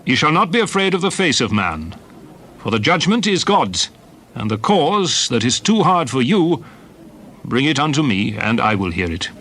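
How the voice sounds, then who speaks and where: A man playing Moses as a solemn leader addressing his people in a dramatized scripture reading, speaking in deliberate, emphatic oratory. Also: mid-range voice, big pitch swings, bright, forceful tone, heavily acted. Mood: solemn, authoritative. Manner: declamatory, deliberate, emphatic phrasing.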